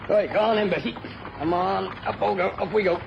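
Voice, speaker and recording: Radio play, noisy old tape: rural English cart driver, plain-spoken, guarded, country accent. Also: male voice, high voice, steady tone, a little fast. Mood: coaxing. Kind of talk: British radio drama acting. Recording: dull old radio recording, clear noise.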